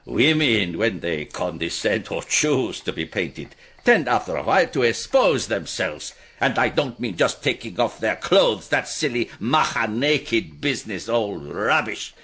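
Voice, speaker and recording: An actor in a radio drama playing a flirtatious, theatrical Spanish painter with an expansive, rambling manner and a husky voice. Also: male voice, fairly low pitch, sing-song, measured pace, a bit bright, exaggerated voice. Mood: musing, pompous. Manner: expansive, digressive, self-dramatising. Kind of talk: BBC radio drama acting.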